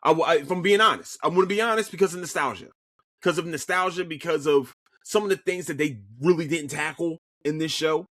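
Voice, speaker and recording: A man's voice: on the high side, brisk, dry recording, clean studio sound. A man with a Philadelphia accent hosting a podcast alone, chatting casually about shows he watched, cursing freely and restarting his sentences often. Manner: animated, swears freely, frequent restarts and stammered repeats. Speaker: podcast host. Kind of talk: casual solo review chat.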